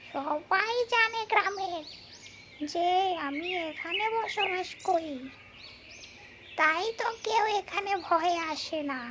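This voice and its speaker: A woman voicing a mischievous yet tender female tree ghost in a folk-tale cartoon, speaking in drawn-out exclamations. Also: very high-pitched, noisy background. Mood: indignant.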